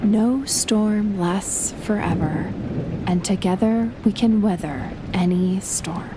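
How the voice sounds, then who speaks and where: Girl voice actor doing a sweet shy female best friend roleplay, soft breathy voice, talks super slow w lots of pauses, storm noise in back. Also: mid-range voice, close to an everyday voice. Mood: soothing storytelling. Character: gentle best friend scared of storms, shyly affectionate. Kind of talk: intimate audio roleplay, spoken to the listener. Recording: loud rain and thunder throughout. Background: rain and thunder effects.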